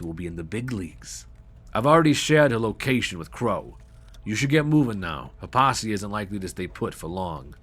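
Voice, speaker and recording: Husky, commanding corporate boss played by a man. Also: fairly low pitch, fairly bright tone, full character voice. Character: cold, businesslike male corporate boss giving mission orders. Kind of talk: dramatic audio roleplay acting.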